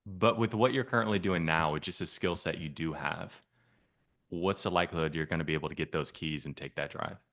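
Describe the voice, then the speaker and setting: A man's voice: deep voice, brisk, fairly bright tone. A man coaching on a sales call, asking probing, persistent questions in a casual way.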